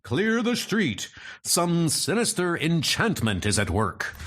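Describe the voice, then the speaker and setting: A man's voice: a little high, huge pitch swings, slow, noisy background, voice pushed up, exaggerated voice. A man voicing a heroic, earnest thunder god superhero in a comic book dub, declaiming his lines. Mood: commanding.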